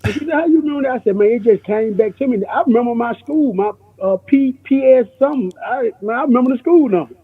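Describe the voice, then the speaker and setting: A man's voice: extremely high pitch, fast talker, hardly any pauses, slightly rough. A man from Memphis, telling stories as a guest in casual, slangy chat, repeating himself for emphasis. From born in New York, grew up in Memphis. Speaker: guest, music producer. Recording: dull lo-fi radio-like sound.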